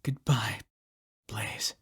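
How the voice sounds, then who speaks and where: A man playing a brave, earnest young hedgehog hero in an audio drama. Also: very slow. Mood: defiant, resigned.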